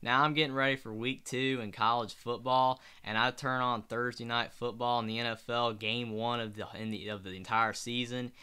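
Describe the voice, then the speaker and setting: A young man talks sports into his webcam from a small bedroom. His voice is bright and crisp, and his speech is rambling and earnest, full of restarts. He sounds tired from the late hour. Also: mid-range voice. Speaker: sports channel host. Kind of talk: casual solo sports commentary. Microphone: webcam at desk.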